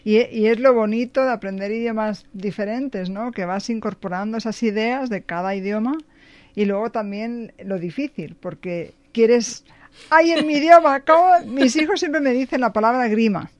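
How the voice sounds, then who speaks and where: A middle-aged woman from Spain with a Spanish accent, a language teacher talking in a relaxed group chat, reflective and often checking in with "¿no?". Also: fairly high pitch, normal speed, dry recording. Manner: reflective, frequent "¿no?" and "ujú". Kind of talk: casual panel chat.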